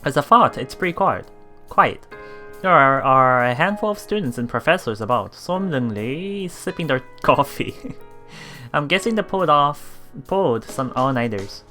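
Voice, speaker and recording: A woman's voice: rumbling low, animated, a little slow, sharp tone. A woman giving a casual, giggly commentary while reading visual novel lines aloud, often reacting and repeating words. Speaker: let's play streamer. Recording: music behind the voice. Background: visual novel game music.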